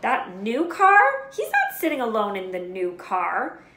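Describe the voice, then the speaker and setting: A woman voicing a large, blustering, threatening middle-aged uncle in a storybook, forceful and emphatic. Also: on the high side, wildly swooping pitch, measured pace, echoey room, voice pushed up, full character voice. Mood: indignant.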